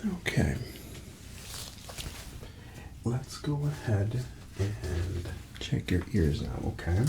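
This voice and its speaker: A man doing a soft-spoken ASMR doctor roleplay, gently reassuring as he gives unhurried instructions, often slipping into a breathy whisper. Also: fairly low pitch, sing-song, background noise. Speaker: ASMR performer playing a doctor.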